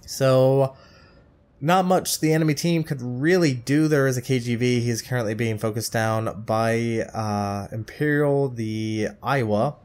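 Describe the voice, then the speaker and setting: A man's voice: medium pitch, measured pace, darkish tone. A man with a stuffed-up, nasal voice commentating his own naval game in a matter-of-fact way, with frequent hesitations and apologetic asides. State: stuffed-up nose. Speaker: gameplay commentator.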